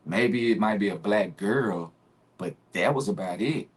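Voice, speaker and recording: A man in his forties from Arkansas with a Southern accent, a guest telling stories in a relaxed, easygoing way that breaks into laughter. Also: lowish voice, measured pace, breathy edge. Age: 40s. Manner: relaxed storytelling, laughs easily. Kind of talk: casual interview conversation.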